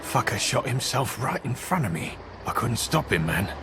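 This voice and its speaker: An actor playing a cagey, wisecracking hired investigator in a noir video game, with a slangy, streetwise manner. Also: male voice, medium pitch, sing-song, normal speed, background noise, natural voice. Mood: defensive, agitated.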